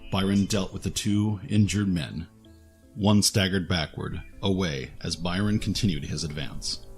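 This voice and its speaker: A man's voice: sits low, unhurried, soft background music. A man reading scripted narration for a fantasy action audio drama as its narrator.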